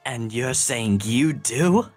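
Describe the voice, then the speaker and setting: A man's voice: medium pitch, animated, slow pace, no room sound. A man voicing a sassy, crude, erratic cartoon hedgehog in an improvised comedy fan dub, sarcastic and mock-dramatic. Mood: mock outrage. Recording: music behind the voice.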